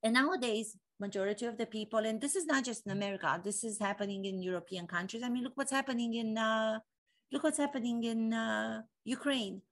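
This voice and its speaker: A fervent woman in her forties with a Romanian accent talks straight to camera, firing off rhetorical questions and doubling back to repeat her points. Her voice sits a little high for a woman. She speaks as a coach who is lecturing with conviction. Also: a little fast. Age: 40s. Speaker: acting coach. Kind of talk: impassioned monologue to camera.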